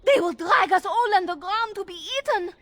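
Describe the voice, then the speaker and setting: An actress playing an anxious, scheming elven handmaid in a video game. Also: female voice, very high-pitched, normal speed, a bit bright. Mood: feigned panic. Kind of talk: video game cutscene acting.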